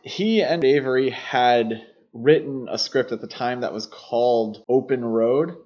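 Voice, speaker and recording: A man's voice: mid-range voice, a little mellow. A man co-hosting a podcast, talking through film history in a conversational way. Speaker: podcast host. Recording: dull, lo-fi podcast audio.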